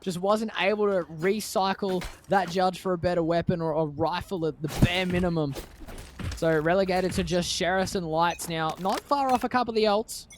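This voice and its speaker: A man giving analytical esports color commentary, breaking into shouts at the big plays. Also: high voice, moderate pace, a little hiss or hum. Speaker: esports co-caster.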